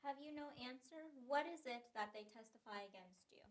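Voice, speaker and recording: A woman reading the part of an indignant male high priest, stern and accusing, with high, tense emphasis. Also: expressive, brisk, rough recording.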